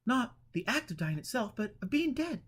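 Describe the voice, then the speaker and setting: A man reading an audiobook, voicing a high-strung, self-effacing woman in her early thirties with a fretful, apologetic manner. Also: very animated, normal speed, a bit bright, heavily acted. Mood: fearful, distressed. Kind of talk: audiobook character voice.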